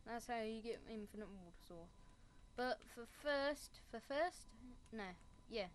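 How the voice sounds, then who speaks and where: A woman's voice: fairly high pitch, animated, unhurried, slight background noise. A woman giving a casual Minecraft building tutorial, rambling and making self-mocking asides. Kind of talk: casual gameplay tutorial commentary.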